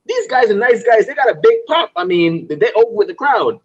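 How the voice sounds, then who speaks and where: A young man from Guyana hosting a casual interview, animated and playful, talking in easy slang. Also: high-pitched, a little fast, husky, muffled recording. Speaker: podcast host.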